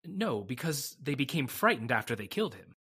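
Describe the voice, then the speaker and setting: Man acting a husky, grave lawyer. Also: medium pitch, sing-song, fairly quick, bright, forceful tone, exaggerated voice. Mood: uneasy. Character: grave, confiding male lawyer settling an estate. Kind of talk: old-time radio drama acting.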